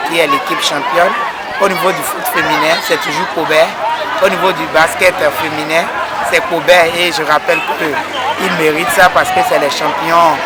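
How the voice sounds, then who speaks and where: A man with a Beninese French accent, a sports official giving an interview statement in a noisy public setting. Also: fairly high pitch. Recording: loud crowd and noisy outdoor background.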